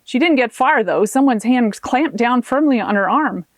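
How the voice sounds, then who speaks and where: A woman author reading her own fiction aloud as an expressive audiobook narrator. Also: fairly high pitch, moderate pace, no echo.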